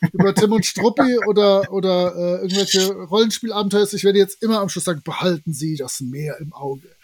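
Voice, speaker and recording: A man with a high-pitched voice chats casually as a podcast guest. His delivery is playful and jokey, in loose everyday conversation. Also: fairly quick.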